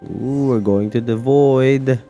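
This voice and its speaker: A man reacting casually as he streams a game. His voice is very mellow and gravelly, and he drawls slowly. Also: medium pitch, soft background music. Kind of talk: casual reactions during gameplay.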